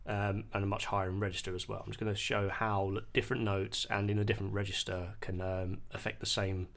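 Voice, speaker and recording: A man presenting an informal music software demonstration, explaining in a hesitant, halting way. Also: on the low side, flat delivery, gravelly. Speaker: music producer, tutorial presenter.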